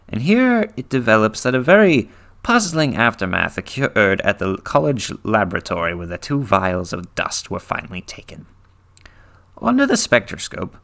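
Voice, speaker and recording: Low-voiced man reading dramatically. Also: vocal fry, fairly bright tone. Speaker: reader. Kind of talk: dramatic scripted horror story narration.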